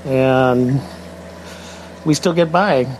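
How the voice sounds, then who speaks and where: A man's voice: medium pitch, drawn-out words, slight background noise, low-quality recording. A man in his sixties, a poll respondent, talking in a casual, candid way. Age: 60s.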